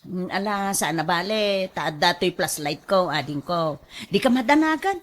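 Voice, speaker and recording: A woman with an Ilocano accent acting as a sly, fast-talking livestock seller in a radio drama, animated and exclamatory. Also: on the low side, natural voice. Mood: reassuring.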